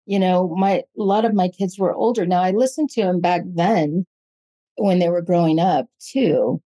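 A middle-aged woman, a parenting coach, explaining things step by step in a measured, casual way. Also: on the low side, mellow. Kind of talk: casual conversation.

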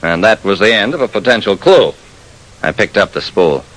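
A shrewd, wry private detective from a vintage radio crime story, played by a man. He delivers hard-boiled narration and dialogue dramatically, with very animated intonation. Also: lowish voice, normal speed, low-quality recording. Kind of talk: first-person old-time radio detective narration. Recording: vintage radio broadcast sound.

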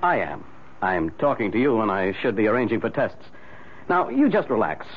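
An actor playing a frank, firm doctor with a direct, probing manner in a 1970s radio drama. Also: male voice, lowish voice, very animated, normal speed. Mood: breezy, reassuring. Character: brisk, authoritative male doctor, frank and familiar. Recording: dull, noisy old radio recording.

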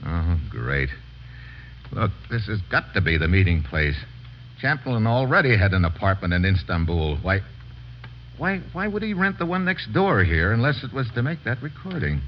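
An actor playing a tough, impatient American investigator in an old radio drama, talking in clipped slang. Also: male voice, on the low side, very animated, normal speed, a little hiss or hum. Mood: frustrated, urgent. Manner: clipped, slangy, hard-boiled. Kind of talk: 1940s-50s radio drama acting and first-person narration. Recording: muffled old radio show recording.

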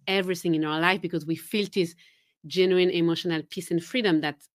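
A woman's voice: on the low side, steady tone, fairly quick, dry recording, studio quality. A woman from Geneva with a Swiss French accent, a native French speaker, chatting as a confidence coach guest, laughing often and restarting her sentences. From Geneva, Switzerland. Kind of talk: casual interview chat.

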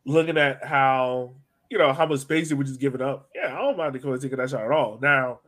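A man's voice: mid-range voice, fairly quick, fairly bright tone. A man hosting a sports podcast in casual fan chat, thinking aloud a little hesitantly as he goes.

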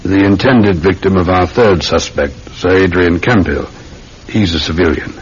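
An actor playing a measured, dry London police sergeant in a classic radio drama. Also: male voice, natural voice. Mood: skeptical. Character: weary, methodical London police sergeant, a patient uncle. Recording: dull, rumbling, noisy old radio recording.

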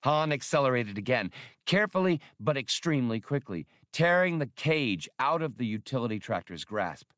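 A man's voice: mid-range voice, sing-song, moderate pace, fairly bright tone, no echo, studio quality. A man reading an adventure novel as a dramatic scripted audiobook narration.

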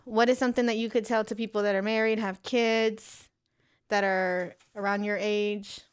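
A woman's voice: mid-range voice, flat delivery, normal speed, clean tone. A woman in her late twenties chats on a casual podcast in a mid-range voice. She is playful and teasing, and often chimes in to agree. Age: 20s. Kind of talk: casual chat.

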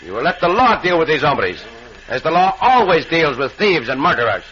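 An actor playing a confident, gallant Mexican cowboy hero in a radio western, with a Mexican accent and formal, deliberate phrasing. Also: male voice, a little high, sing-song. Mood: commanding. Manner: formal, deliberate phrasing with Spanish words sprinkled in. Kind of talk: old-time radio western acting. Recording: noisy muffled old radio recording.

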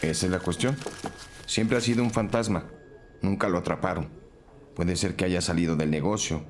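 An actor playing a serious investigator briefing colleagues in a crime audio drama. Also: male voice, deep voice, fairly even intonation, moderate pace, slight background noise. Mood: serious, matter-of-fact.

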